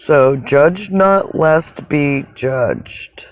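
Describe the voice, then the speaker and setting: A person with a raspy voice talks in a casual, rambling livestream monologue, halting and reflective, often pausing mid-sentence. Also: mid-range voice, dry recording. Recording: dull, narrow phone-like sound.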